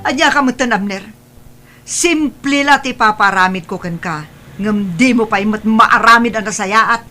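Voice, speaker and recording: A woman's voice: a little high, unhurried, pushed and bright, soft background music. A woman playing a harsh, nagging mother in an audio drama, speaking with an Ilocano accent. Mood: irritated, scolding. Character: harsh, nagging mother scolding her young son.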